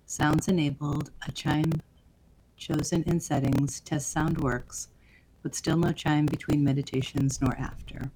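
A woman's voice: low voice, steady tone, measured pace, background noise. A woman reading listener questions aloud in a plain, matter-of-fact way during a livestream.

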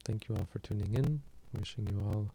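A man giving a relaxed, plainspoken meditation talk and answering questions on a livestream. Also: lowish voice, slight background noise, rough recording. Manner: plainspoken, unhurried, loosely conversational. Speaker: meditation teacher.

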